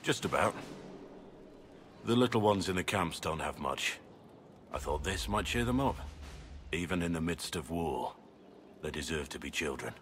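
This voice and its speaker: Gruff old-campaigner soldier for a video game, really deep, earnest n measured, noisy background. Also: male voice, animated, fairly bright tone. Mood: gentle. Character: gruff, weary middle-aged male soldier, remorseful and resolute. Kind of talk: dramatic video game acting.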